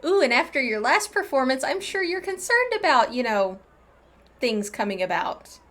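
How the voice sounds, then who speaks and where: A woman's voice: high voice, very animated, normal speed, fairly bright tone. A woman giving casual, enthusiastic, gushing commentary as she plays through a game. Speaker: game streamer. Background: game music.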